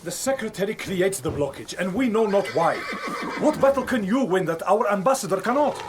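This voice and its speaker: High-voiced, earnest Moorish envoy. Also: male voice, animated, fairly quick. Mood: pressing. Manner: earnest, formal, pleading. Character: urgent, persuasive Moorish envoy's man, loyal friend. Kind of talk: period radio drama acting, formal speech.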